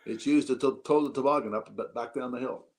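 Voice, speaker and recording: A man's voice: mid-range voice, steady tone, fast. A middle-aged man co-hosting a podcast in easygoing casual chat with short agreeing replies. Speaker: podcast co-host.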